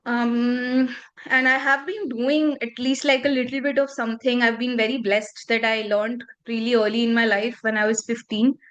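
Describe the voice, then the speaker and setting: Female guest, ~30, native Punjabi speaker, candid reflective delivery, pitched a touch high. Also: level tone, normal speed, fairly bright tone, lo-fi sound. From northern India. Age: 30s. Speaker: podcast guest. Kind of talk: casual interview conversation.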